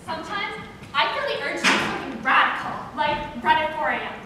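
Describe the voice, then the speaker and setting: A woman's voice: high-pitched, animated, quick pace. A woman playing a wistful, restless girl musing aloud before dawn in an amateur stage play. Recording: echoey hall, distant mic, noisy.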